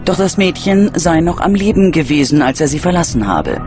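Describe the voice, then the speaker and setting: A man's voice: fairly high pitch, very animated, brisk. A man reading scripted narration for a true-crime documentary. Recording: music under the voice. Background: background music.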